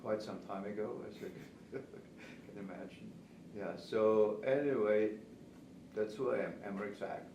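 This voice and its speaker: A man's voice: on the low side, fairly even intonation, unhurried, dark tone. A man in his seventies with an Austrian accent, a native German speaker, talking conversationally as a veteran pastry instructor answering interview questions. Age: 70s. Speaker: pastry chef and cake instructor. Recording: distant, roomy, noisy recording.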